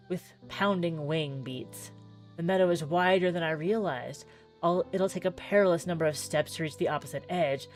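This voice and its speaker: A woman reads story text from a visual novel aloud in a fairly low voice. Her delivery is theatrical and deliberate, with pauses for effect. Music plays softly underneath her. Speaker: streamer playing a visual novel demo. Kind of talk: dramatic read-aloud of narrative game text.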